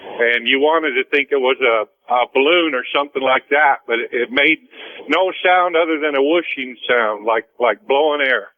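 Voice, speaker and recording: A man's voice: medium pitch, normal speed. An American man calling a radio show, telling a story in casual, folksy, colloquial speech. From Utah, United States. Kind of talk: casual storytelling on a phone call. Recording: tinny phone line over radio.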